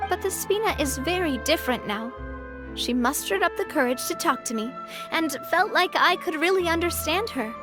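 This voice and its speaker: A high-pitched, self-assured young woman boss from a video game. She speaks with poise and assertiveness. Music plays behind her. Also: animated, normal speed. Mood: warm, reflective. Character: confident, cheerful young woman boss of a syndicate. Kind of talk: video game character acting.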